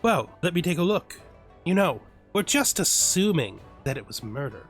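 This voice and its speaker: A man plays a sly young bandit boss in a medieval roleplay game. He speaks in a fairly high male voice, measured, dry and dismissive, feigning innocence over faint music. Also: very animated. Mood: feigned concern.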